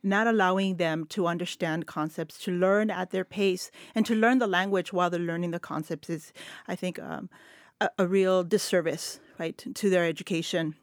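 A woman's voice: lowish voice, steady tone, normal speed, no room sound, clean studio sound. A middle-aged woman from El Paso whose first language is Spanish, a literature professor speaking earnestly and reflectively in long flowing sentences on a panel. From El Paso, West Texas. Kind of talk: panel discussion.

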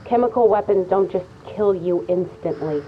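A woman's voice: mid-range voice, moderate pace, dull audio, slight background noise, close to an everyday voice. An actress playing a level-headed, clinical woman in an audio drama, speaking in an analytical, matter-of-fact way. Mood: grim, analytical. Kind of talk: audio fiction acting, naturalistic.

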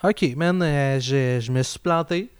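A man's voice: fairly high pitch, unhurried, clear, resonant tone, dry recording. Young male comedian guest, Quebec French, resonant voice, dry close sound, crude and self-mocking. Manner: slangy, swearing, self-mocking storyteller. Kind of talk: casual chat.

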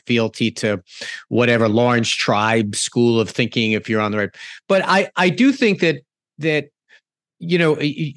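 A man hosting a podcast interview, talking in a halting way with many restarts and repeated words. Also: medium pitch, no echo, clean studio sound. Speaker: podcast host, former politician. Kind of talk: conversational interview.

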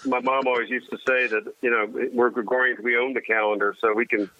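A man, Armenian his first language, talking casually as a guest in a halting way with frequent restarts and dry self-deprecating humor. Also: mid-range voice, little pitch movement, pushed and bright, dry recording. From United States. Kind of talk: casual conversation. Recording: dry tinny phone-like line.

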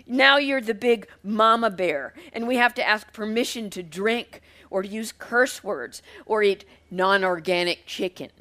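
A woman's voice: mid-range voice, a little slow, few pauses, pushed and bright. A woman voicing Avery, a defensive, resentful sister who snaps accusations. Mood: accusing.

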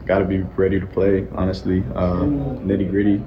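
A young college football player answering reporters hesitantly, with many ums. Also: male voice, sits low, moderate pace, muffled recording, noisy background. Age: young adult. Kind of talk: press conference answers.

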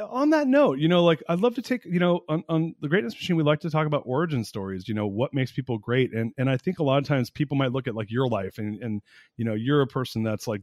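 A man in his forties hosts a casual podcast chat, excitable and animated, cursing freely and laughing often. His voice sits at an ordinary middle pitch. The sound is dry and close, with no room around it. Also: dry recording. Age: 40s. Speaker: podcast host.

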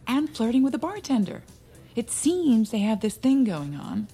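A woman's voice: fairly high pitch, sing-song, moderate pace, mellow, husky. A woman playing a brash, gossipy married friend in an audio drama, talking in a knowing, blunt, teasing way. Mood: gossipy, gleeful. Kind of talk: naturalistic audio drama dialogue. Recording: music playing behind the voice.

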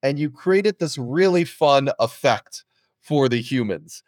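A man's voice: mid-range voice, lively intonation, slow pace, no echo, clean studio sound. A man hosting a podcast, talking in a casual, upbeat interview style. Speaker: podcast host interviewing a guest.

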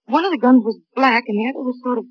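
An actress playing a shaken, earnest young female eyewitness in a 1950s radio crime drama, speaking simply and haltingly. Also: a little high, no echo, natural voice. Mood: nervous, unsure. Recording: muffled old radio broadcast.

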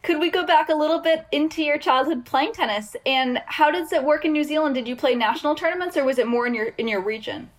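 Higher-voiced woman podcast host. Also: fast talker, hardly any pauses. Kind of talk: scripted intro and interview questions.

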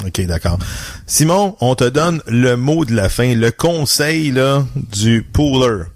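Male host, mid pitch, dry close sound, joking and upbeat. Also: expressive, takes it slowly, no room sound. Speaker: podcast host. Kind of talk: casual podcast chat.

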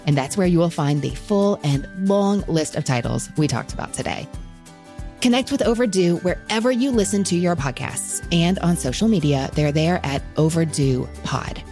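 A woman's voice: deep voice, expressive, moderate pace, mellow, soft background music. A woman hosting a book podcast, chatting with guests and addressing her listeners. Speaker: podcast host. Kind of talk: warm book-podcast hosting, interview and sign-off.

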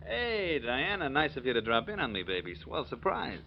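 A man's voice: mid-range voice, big pitch swings, normal speed, vocal fry. A man acting a cocky, boastful killer and gangster boyfriend in slangy, swaggering 1940s radio style. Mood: amorous. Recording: muffled, noisy vintage 1940s radio recording.

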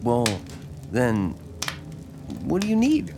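An actor playing a lonely, timid small-town man in an audio drama, speaking haltingly with stammers and self-deprecation. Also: mid-range voice, wildly swooping pitch, gravelly, background noise, heavily acted. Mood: unsure, hesitant. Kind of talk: naturalistic audio drama acting. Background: music behind the voice.

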